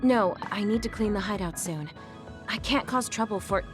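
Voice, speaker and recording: An actress playing a gentle, polite and self-effacing young woman in a video game. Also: medium pitch, moderate pace, music in the background, lightly acted. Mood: weary, apologetic. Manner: polite, apologetic.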